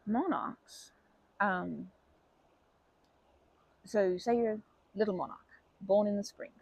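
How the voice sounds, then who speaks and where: Woman playing a creaky, breathy, kindly old grandma. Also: mid-range voice, slow, dry recording, poor audio. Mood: chatty, fond. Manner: gentle, rambling, folksy wording, soothing asides. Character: kindly, chatty old grandma comforting a crying youngster. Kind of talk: ASMR comfort roleplay.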